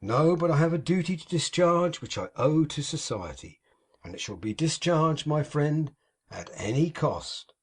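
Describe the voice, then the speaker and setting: A man's voice: on the high side, expressive, measured pace, breathy edge. Man putting on a pompous sanctimonious hypocrite. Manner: pompous, sanctimonious, grandly theatrical. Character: pompous hypocritical middle-aged male architect.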